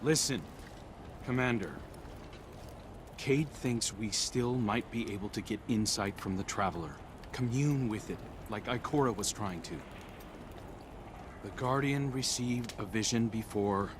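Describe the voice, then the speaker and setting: An actor playing a sincere, loyal warrior in a video game, speaking in a subdued, serious and measured way. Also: male voice, lowish voice, background noise, natural voice. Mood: urgent, sincere. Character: sombre, sincere male warrior, guilt-tinged and loyal. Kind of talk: video game cutscene acting.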